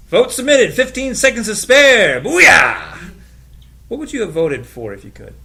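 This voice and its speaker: Man playing an eager young guy, lively and bright. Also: high-pitched, unhurried, gravelly, exaggerated voice. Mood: excited, playful. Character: eager, trusting young man, playful and naive. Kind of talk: audio drama cast table read.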